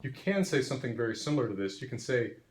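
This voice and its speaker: A man explaining language history in a measured, clear, professorial lecture. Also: medium pitch, big pitch swings.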